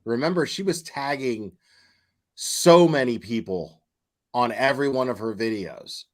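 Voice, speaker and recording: A man's voice: medium pitch, animated, a little slow. A man hosting a casual livestream commentary. He talks bluntly, swears, and rambles with fillers. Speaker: commentary channel host.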